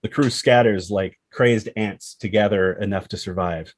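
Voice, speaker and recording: A man narrates screenplay action and scene headings as scripted narration. His voice is lowish and rough-edged, husky, and recorded very dry. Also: normal speed, no room sound. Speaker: stage-direction narrator.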